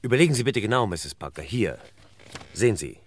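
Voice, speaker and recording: A courteous investigator from a radio crime drama, with a low male voice and a very mobile intonation. He probes politely, hesitating as he thinks. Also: sing-song, brisk, no echo. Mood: polite, pressing. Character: courteous, probing male investigator questioning a widow. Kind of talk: German radio crime play acting.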